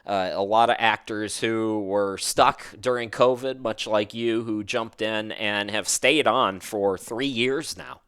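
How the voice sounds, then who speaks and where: Smooth, lowish-voiced man hosting. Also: slow pace, few pauses, a bit bright. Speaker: interview host. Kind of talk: casual interview chat.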